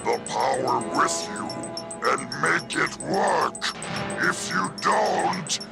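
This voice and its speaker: A menacing warlord king growls out threats very slowly and imperiously, heavy with gravel. A dramatic score swells behind him in this storybook production. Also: a little high, lively intonation, over loud music, heavily acted. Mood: threatening. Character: menacing, commanding villain king of alien marauders. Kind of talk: dramatised children's read-along storybook.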